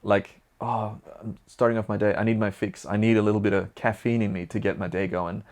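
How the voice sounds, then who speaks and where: Young man, flemish accent, low voice, chatty vlog q&a, upbeat and rambling. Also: level tone, brisk, darkish tone. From Belgium. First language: Dutch. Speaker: vlogger. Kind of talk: casual Q&A answering viewer questions.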